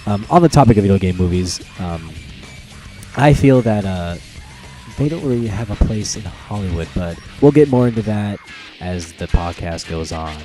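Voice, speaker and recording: A man hosts a casual podcast with a low, mellow voice. He talks informally, in buddy-like banter. The recording has a roomy echo with faint music. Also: lively intonation, moderate pace. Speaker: podcast host. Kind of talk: casual chat.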